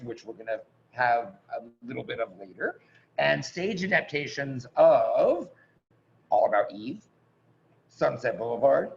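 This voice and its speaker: A man hosting a live online event, introducing performers in a halting, effusive and casual way over a video call. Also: medium pitch, vocal fry. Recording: dull noisy video call audio.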